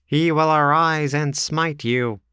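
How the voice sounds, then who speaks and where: A man's voice: fairly low pitch, unhurried, fairly bright tone, clean tone, no echo, studio quality. A man voicing two boastful young suitors bragging about their wealth and position. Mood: threatening. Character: pompous young male suitors, self-important.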